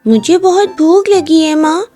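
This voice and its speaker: Woman doing a plaintive, high-pitched little boy. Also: lively intonation, unhurried, mellow, soft background music. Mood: hungry, pleading. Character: hungry young boy, plaintive and whining. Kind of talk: cartoon storybook acting.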